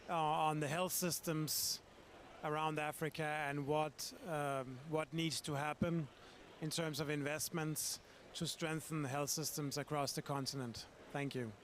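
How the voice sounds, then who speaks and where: A man's voice: on the high side, slight background noise. A man, a chief executive, putting a polite, prepared question to a panel. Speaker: audience member, chief executive.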